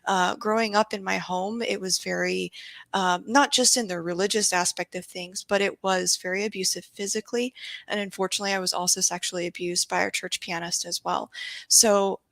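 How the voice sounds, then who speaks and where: Woman from japan, guest expert, kinda creaky voice, careful earnest explaining, super dry close sound. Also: mid-range voice, fairly even intonation, a little fast, a bit bright, no room sound. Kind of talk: earnest, explanatory interview talk.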